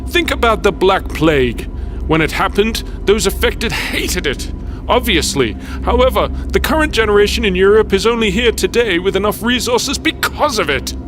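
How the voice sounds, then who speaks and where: Man acting a smug, ruthless villain, lively pitch. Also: over music. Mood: cold, self-righteous. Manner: measured, self-justifying monologue. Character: smug, ruthless male health agency chief justifying mass death. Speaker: actor in an audio drama. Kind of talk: dystopian audio drama acting.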